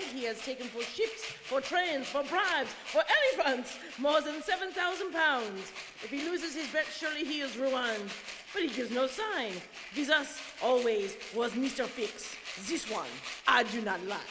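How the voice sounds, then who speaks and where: An actor playing an excitable French manservant who narrates in a theatrical, exclamatory way with a French accent in a radio drama. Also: high-pitched, natural voice. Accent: French, put on for the role. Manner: theatrical, exclamatory, foreign-sounding phrasing. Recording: hollow reverberant studio with noise.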